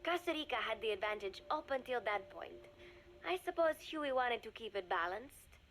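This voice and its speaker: An actress voicing an earnest young woman reading her diary aloud in a video game, calm and reflective. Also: on the high side, moderate pace, slight background noise, rough recording. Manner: calm, reflective, softly spoken. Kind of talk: game audio diary narration.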